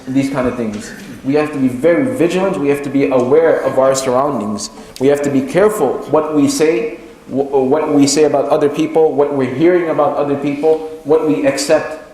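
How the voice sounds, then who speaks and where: A man giving a religious sermon as an imam, speaking in a halting way and repeating phrases for emphasis. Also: fairly high pitch, a little mellow. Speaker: imam giving a talk to his congregation. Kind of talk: sermon, teaching from religious history. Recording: very echoey room, faint background noise.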